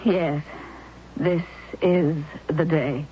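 A woman's voice: low-pitched, fairly even intonation, drawn-out words, over loud music. An actress playing a brave young woman in a 1970s radio drama, speaking in a resolute but strained way. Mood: tense. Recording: muffled old radio broadcast.

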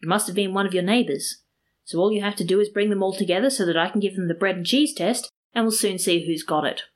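Storybook part: eager plotting man, conspiratorial and brisk, mid-pitched voiced by a woman. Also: close to an everyday voice. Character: eager male accomplice in mischief.

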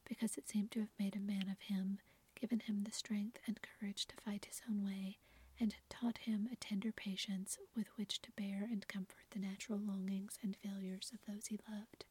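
A woman reads a story aloud in a soft, unvoiced whisper, close to the mic. Her breathy delivery keeps a narrow, even pitch, and the quiet room sounds completely dry. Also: moderate pace, no room sound. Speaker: narrator. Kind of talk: whispered ASMR story reading.

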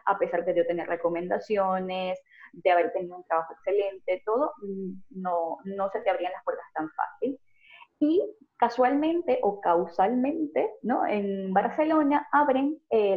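Venezuelan woman on a tinny call. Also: medium pitch. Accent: Venezuelan Spanish. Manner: fluent, lively storytelling with laughs. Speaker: guest, pharmacist. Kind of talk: conversational interview. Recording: narrow phone-like video call audio.

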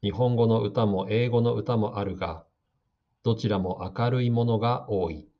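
A man giving slow, calm, scripted narration for a radio drama meant for learners. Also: fairly low pitch. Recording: dull lo-fi audio.

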